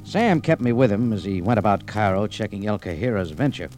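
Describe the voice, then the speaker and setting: An actor playing a tough, wry adventurer in an old radio detective drama, with punchy hardboiled delivery. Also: male voice, on the low side, lively intonation, fairly quick, darkish tone, faint music behind, natural voice. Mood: grim narration. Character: tough, wry male adventurer narrating his exploits. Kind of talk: 1940s-50s radio detective drama acting. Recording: slightly noisy old radio recording.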